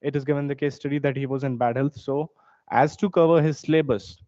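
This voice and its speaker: A young man with an Indian accent, mid-pitched, talks through a prepared competition speech in a steady, formal way with the odd filler. The recording is dull and lo-fi, and dry. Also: fairly quick, no room sound. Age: young adult. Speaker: student competitor.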